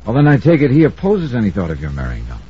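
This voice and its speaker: Skeptical radio detective, American, very raspy, lively swooping pitch, colloquial, dull vintage recording. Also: male voice, exaggerated voice. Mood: skeptical, probing. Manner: brisk, colloquial, doubting. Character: skeptical, hard-nosed male insurance investigator questioning a client. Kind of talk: old-time radio drama acting.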